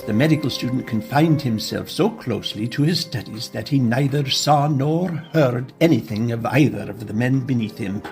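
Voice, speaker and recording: Narrator: male, medium pitch, scripted literary storytelling, faint musical bed underneath. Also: darkish tone.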